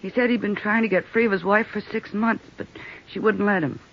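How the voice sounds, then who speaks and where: A woman's voice: medium pitch, fairly even intonation, brisk, natural voice. A woman playing a frightened, sullen young nightclub stripper in her twenties, pleading and defensive in an old radio drama. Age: 20s. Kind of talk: 1950s radio drama acting. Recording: dull old radio broadcast.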